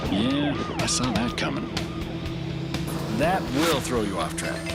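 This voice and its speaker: Male PSA narrator, mid-range voice, animated intonation, loud music bed. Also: medium pitch. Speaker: public service ad narrator. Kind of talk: scripted ad narration addressed to the listener.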